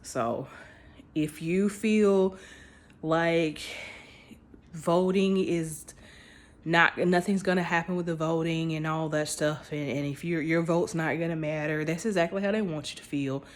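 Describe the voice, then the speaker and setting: A woman's voice: sits low, measured pace. A woman talking straight to her viewers in a casual, emphatic commentary, speaking informally and restarting her phrases as she goes. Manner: informal speech with dropped grammar. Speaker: vlogger.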